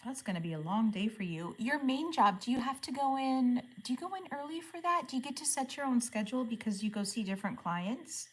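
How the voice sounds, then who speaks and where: A woman in her forties chatting casually with viewers on a livestream, asking friendly questions and murmuring softly when she reads comments. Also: on the high side, fairly quick, few pauses, husky, rough recording. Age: 40s. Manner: drops to a soft murmur when reading comments aloud. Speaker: live streamer.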